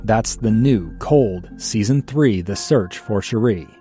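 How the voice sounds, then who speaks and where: A man's voice: on the low side, lively intonation, slow, warm tone. A man narrating a scripted true-crime podcast trailer. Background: music.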